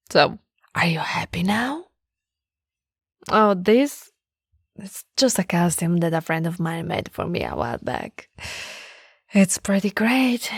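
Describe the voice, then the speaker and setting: Woman acting a slow, teasing nerdy monster girlfriend. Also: fairly low pitch, no room sound, studio quality, full character voice. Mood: playful, mock spooky. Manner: playful, teasing, often dropping into breathy whispers. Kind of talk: intimate roleplay audio acting.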